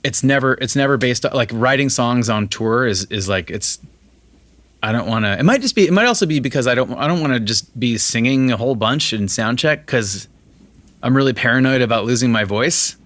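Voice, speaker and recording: A man hosts a casual chat in a voice of ordinary middle pitch. He speaks candidly and pokes fun at himself. He often restarts while he gropes for the right word. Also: expressive, fairly quick, a bit bright, no room sound. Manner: candid, self-deprecating, fumbles for words. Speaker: host musician. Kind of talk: casual conversation.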